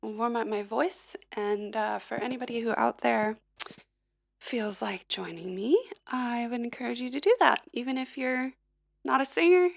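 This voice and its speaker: A woman hosting a livestream, gently talking viewers through a vocal warm-up at her piano with a slightly froggy morning voice. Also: fairly high pitch, normal speed, gravelly. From Filipina-American. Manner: gentle, encouraging, instructive. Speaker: singer-songwriter and livestream host. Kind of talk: casual vocal warm-up coaching.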